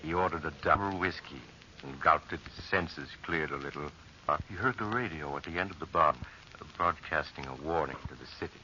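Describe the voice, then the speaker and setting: An actor playing a grave, dramatic storyteller, narrating a vintage radio horror tale. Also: male voice, extremely low pitch, bright, forceful tone, a little hiss or hum, heavily acted. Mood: grave, ominous. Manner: dramatic, deliberate. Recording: muffled old radio recording.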